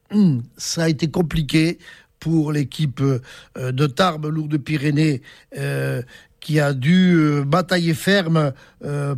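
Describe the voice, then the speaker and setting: A man's voice: fairly high pitch, no echo, clean studio sound. Rambling radio sports man. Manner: rambling, self-correcting. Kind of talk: local radio sports chat.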